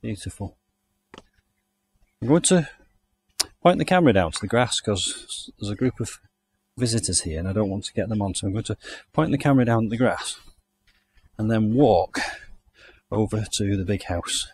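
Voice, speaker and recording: A man's voice: lowish voice, expressive, moderate pace, a little mellow, husky. A man from the UK giving a chatty, enthusiastic walking tour of a country garden outdoors, recorded on the camera he carries. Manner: chatty, enthusiastic, thinking aloud. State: hot and overdressed while walking. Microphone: handheld camera mic, walking.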